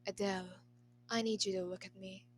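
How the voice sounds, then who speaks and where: A woman voicing a smooth-talking young nobleman who acts a devoted retainer, softly and earnestly, in a story. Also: mid-range voice, little pitch movement, fairly quick, a little hiss or hum, voice pushed down, close to an everyday voice. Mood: soft, commanding. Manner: soft, coaxing, earnest.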